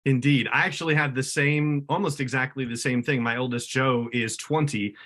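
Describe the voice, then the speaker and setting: A man's voice: medium pitch, steady tone, normal speed, a bit bright. Podcast host, male, middle-aged, mid-range voice, presenting and interviewing in a friendly style. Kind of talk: scripted introduction and friendly interview chat.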